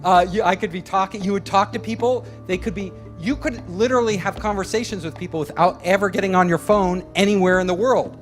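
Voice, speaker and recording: A man's voice: high-pitched, fairly quick, hardly any pauses, soft background music. A man giving a lecture in a chatty way, chuckling between points. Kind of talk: public lecture.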